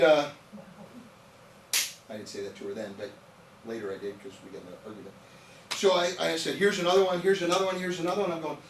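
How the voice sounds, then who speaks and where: Man about fifty, storytelling lecturer, rhetorical questions, reverberant room, faint room hum. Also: on the high side. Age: around 50. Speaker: nutrition trainer. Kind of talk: informal lecture to a live audience. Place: training room with an audience. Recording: echoey room, voice picked up from a distance.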